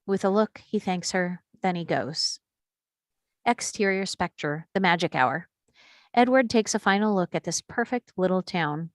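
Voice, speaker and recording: A woman narrates screenplay action and scene headings from a script. Her voice is on the low side, and the recording is very dry and close, free of room sound. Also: measured pace, no room sound. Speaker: stage directions reader.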